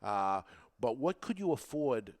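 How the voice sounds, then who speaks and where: A man's voice: mid-range voice, very animated, unhurried, darkish tone. Emphatic male podcast host. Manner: emphatic, repetitive, rhetorical questions. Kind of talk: informal talk show monologue, explaining and persuading.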